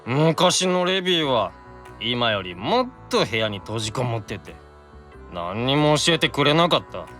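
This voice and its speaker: An actor playing a tipsy, affectionate young demon brother in a Japanese audio drama, earnest and insistent with childlike drunken slurring. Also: male voice, medium pitch, very animated, a little slow, fairly bright tone, soft background music. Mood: earnest, concerned.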